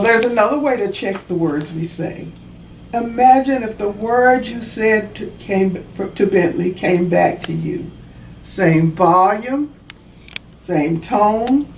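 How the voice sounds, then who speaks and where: A woman reading a children's storybook aloud in a deliberate, instructive way, pausing to explain things as she goes. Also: medium pitch, vocal fry, a little mellow. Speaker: author reading her own children's book. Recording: roomy, distant mic, faint background noise.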